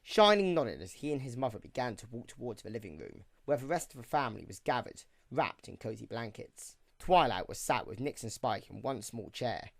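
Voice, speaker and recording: A male narrator reads a scripted story. His voice is mid-pitched and the recording is close and dry. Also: big pitch swings, a little fast, vocal fry, few pauses, no echo.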